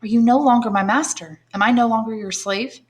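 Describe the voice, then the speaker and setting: High voice, a woman voicing a gentle adoring young woman, soft pleading tone, audiobook. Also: fairly quick, natural voice.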